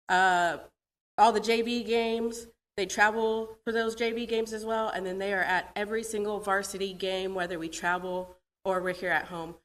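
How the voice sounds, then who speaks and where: A woman's voice: mid-range voice, fairly bright tone. A woman, a school athletic coach, giving an informal thank-you speech at an awards ceremony. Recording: distant mic, very noisy crowded event.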